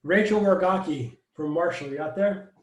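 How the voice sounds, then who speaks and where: A man's voice: sits high, normal speed. Upbeat man on an echoey video call. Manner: upbeat, jokey, friendly banter. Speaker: residency program director. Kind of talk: casual video-call welcome.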